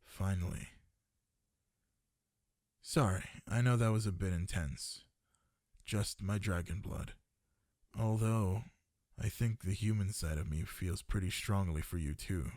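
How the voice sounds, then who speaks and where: A man's voice: sits low, unhurried, long pauses, a little mellow, no room sound, clean studio sound. A man playing a smitten, possessive part-dragon librarian in an ASMR boyfriend roleplay, speaking intimately and tenderly to one listener. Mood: intense, devoted.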